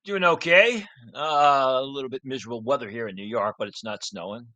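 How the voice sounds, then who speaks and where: Hoarse man in his sixties, bright tone, dry close sound, matter-of-fact storyteller. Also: mid-range voice, brisk, no echo. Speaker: draft analyst. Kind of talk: casual podcast chat.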